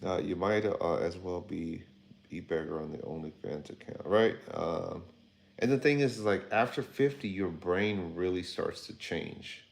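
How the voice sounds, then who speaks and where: A man in his fifties with a very low, deep voice chats loosely on a livestream. He rambles from thought to thought and calls out to his viewers by name. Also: measured pace, gravelly. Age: 50s. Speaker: livestream host. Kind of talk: casual livestream chat reading viewer comments.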